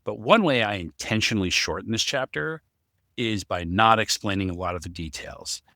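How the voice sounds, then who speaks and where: Guy talking casually on a podcast, lowish but crisp bright voice, no room echo. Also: moderate pace, studio quality. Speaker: podcast co-host, story writer and amateur voice actor.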